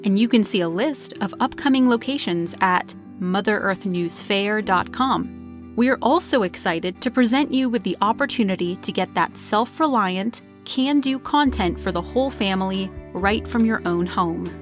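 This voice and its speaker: A woman reads a scripted promotional announcement in a medium-pitched voice. Music plays behind her as she gives the message. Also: normal speed.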